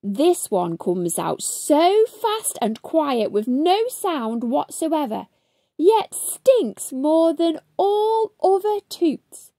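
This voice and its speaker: Woman, kids' read-aloud narration, lively swooping pitch, dry close sound, drawn-out key words. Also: no echo. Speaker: children's storybook reader.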